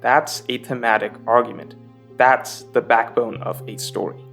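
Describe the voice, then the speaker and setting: A man's voice: medium pitch, steady tone, a little slow, gravelly, fairly bright tone, soft background music. A man delivering scripted narration for a film analysis video essay as its host, explaining in an articulate way.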